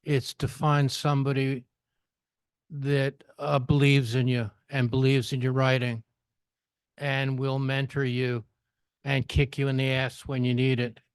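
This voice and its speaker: A man in the audience speaking plainly and bluntly, his voice flat in pitch but smooth and clear. Dry close sound. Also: a little slow, no room sound. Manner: blunt, direct, plain-spoken. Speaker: audience member. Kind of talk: audience comment.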